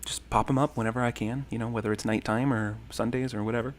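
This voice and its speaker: A man's voice: mid-range voice, fairly even intonation, fast talker. Male, thirties, easygoing stream host, rambling chat, mid-range voice. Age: 30s. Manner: rambling, friendly, many ums and thank-yous. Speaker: livestream host. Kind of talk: casual livestream chat reading comments.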